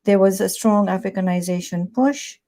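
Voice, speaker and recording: A woman's voice: medium pitch, moderate pace, dark tone. A woman in her sixties from Tanzania, a publisher, chatting as an interview guest with many "you know"s and restarts. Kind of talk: conversational interview.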